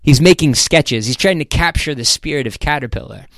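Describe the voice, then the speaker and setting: A man voicing a polished, politely reserved businesswoman in a story, with a measured manner. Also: on the high side, gravelly, fairly bright tone, no room sound, studio quality, voice pushed up. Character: polished, composed female tech pitchwoman, politely reserved.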